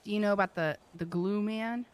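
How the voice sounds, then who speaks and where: A woman's voice: medium pitch, fairly quick. A woman improvising casual roleplay chat with emphatic, playful storytelling. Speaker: roleplay game player.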